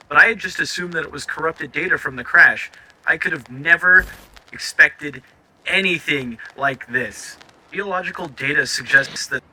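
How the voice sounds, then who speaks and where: Man playing anxious sci-fi castaway, very bright forceful voice, halting audio-log narration, horror drama, faint background noise. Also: a little high, low-quality recording. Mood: awestruck. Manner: halting, stammering over words. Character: stranded spaceship crash survivor keeping an audio log, anxious, awestruck.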